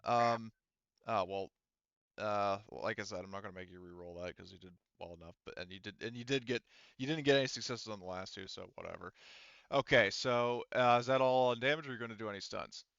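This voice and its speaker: A man's voice: lowish voice, fairly quick, vocal fry, a bit bright, clean tone, no echo, lo-fi sound. A man speaking casually as a game master, narrating the action and explaining rules in an informal, mildly profane way.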